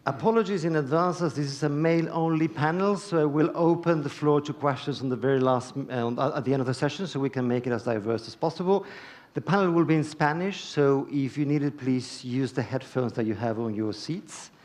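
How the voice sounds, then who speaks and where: A man from Argentina, moderating a panel on a conference stage in a measured, deliberate way with pauses. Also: on the high side, a little mellow.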